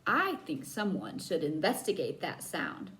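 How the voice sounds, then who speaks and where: A woman's voice: sits low, huge pitch swings, a little slow, fairly bright tone, pitched below the performer's own voice, full character voice. A woman storyteller voicing a mischievous, bored schoolboy in a children's book, in a conspiratorial manner.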